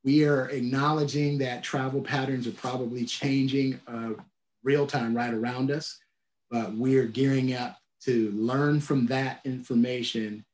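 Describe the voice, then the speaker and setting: Middle-aged man, transit leader, video call, measured and halting, echoey office room. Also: mid-range voice, steady tone, a little mellow. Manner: measured, restarts phrases, many "uh" fillers. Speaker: transit agency leader, keynote guest. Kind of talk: answering questions on a video call. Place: office at home or work.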